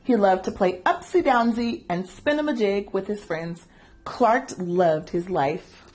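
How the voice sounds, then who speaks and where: A woman storyteller reading aloud for children, animated and engaging listeners with questions. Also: mid-range voice, unhurried, slight background noise. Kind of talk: expressive children's read-aloud narration.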